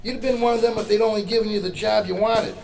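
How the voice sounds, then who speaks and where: Gruff wry rebel mentor guy, creaky gravel voice, kinda high, slangy, radio drama. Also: steady tone, fast, darkish tone. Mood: mocking, brusque. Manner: folksy slangy, wry, brusque. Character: gruff, sardonic older underground rebel mentor.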